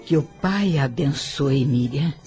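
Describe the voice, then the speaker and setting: An elderly grandmother, kind and grateful in an old-fashioned radio drama. She speaks with humble warmth while music plays clearly underneath. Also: sits low, takes it slowly. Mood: grateful, solemn. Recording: music behind the voice. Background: background music.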